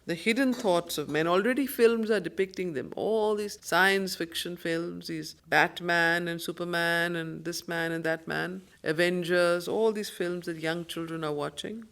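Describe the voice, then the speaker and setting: A woman's voice: fairly low pitch, vocal fry, fairly bright tone. Indian woman reciting and explaining poetry. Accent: Indian English. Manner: deliberate, emphatic, repeats phrases. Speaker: study circle facilitator. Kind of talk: slow poetry recitation with spoken commentary.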